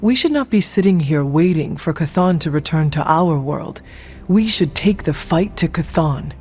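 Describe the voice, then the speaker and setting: Solemn warrior hero, higher-pitched, tinny. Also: male voice, animated, measured pace. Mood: defiant. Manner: formal, uncontracted speech, solemn, blunt. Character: stern, resolute male warrior hero, blunt and grave. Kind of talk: video game character dialogue. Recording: thin phone-like audio, music behind.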